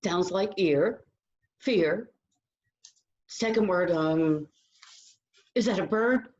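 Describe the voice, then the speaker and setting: An actress plays an eager mother in a play reading. Her mid-pitched voice swings animatedly but takes its time, and the sound is dull and lo-fi. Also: expressive, drawn-out words, darkish tone. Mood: eager guessing. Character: eager mother guessing at charades. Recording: dull lo-fi recording.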